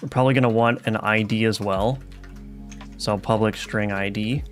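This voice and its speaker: A man's voice: fairly low pitch, fairly even intonation, moderate pace. A man streaming live as a game developer, talking casually and thinking aloud with many fillers and restarts while he codes. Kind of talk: live coding stream, reading chat. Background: soft lofi music.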